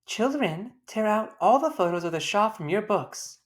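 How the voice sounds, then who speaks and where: A woman's voice: mid-range voice, expressive, moderate pace, pitched above the performer's own voice, lightly acted. A woman voicing a strict, commanding female schoolteacher who reprimands pupils in a storybook.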